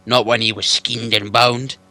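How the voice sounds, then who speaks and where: A man reading aloud, voicing a huge, slow-witted male troll who bellows in rough dialect. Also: medium pitch, level tone, sharp tone, no echo. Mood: bellowing.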